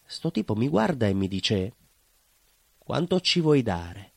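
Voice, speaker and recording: A man's voice: medium pitch, sing-song, slow pace, creaky, dry recording, heavily acted. A man playing a nostalgic, naive, proud neighbourhood baker in a theatrical monologue, with a southern Italian accent and a colloquial, rambling, emphatic way of telling. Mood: naive storytelling. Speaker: stage actor.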